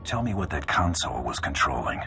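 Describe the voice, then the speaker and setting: An actor playing a tough, cocky bounty hunter in a video game, speaking tersely with dry sarcasm. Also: male voice, very deep voice, sing-song, brisk, gravelly, pushed and bright, faint music behind, full character voice. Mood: curt. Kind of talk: video game cutscene acting.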